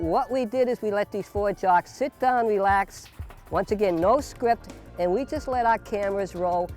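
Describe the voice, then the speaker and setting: A woman's voice: mid-range voice, a little mellow. A woman hosting an informal sports interview, introducing guests and asking them questions. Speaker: interviewer and host.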